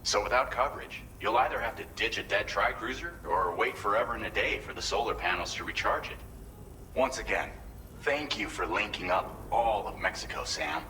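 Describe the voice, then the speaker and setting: Friendly game colleague giving briefings; lowish, bright, forward voice, earnest and explanatory, faint ambience. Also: male voice, lively intonation, moderate pace, slight background noise, poor audio. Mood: upbeat, helpful. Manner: chatty, considerate, explanatory. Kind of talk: video game briefing dialogue.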